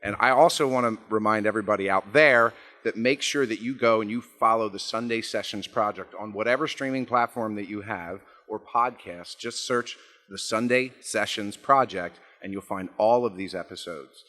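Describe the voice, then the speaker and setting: Low-voiced man with a bright, forceful tone. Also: normal speed. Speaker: host of a music session show. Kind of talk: casual interview and show sign-off.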